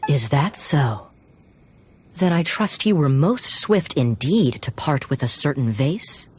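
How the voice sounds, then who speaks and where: A woman's voice: sits low, big pitch swings, slow, darkish tone, slightly rough, full character voice. A woman voicing a sly tavern drinker with elegant airs in a video game, teasing with suave, barbed politeness. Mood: barbed, goading.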